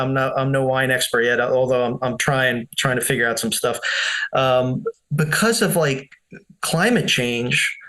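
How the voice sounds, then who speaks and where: A male podcast host with a mid-pitched, ordinary voice asks his questions thoughtfully. He hesitates and restarts as he works his way toward each point. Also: bright, forceful tone. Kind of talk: casual interview talk.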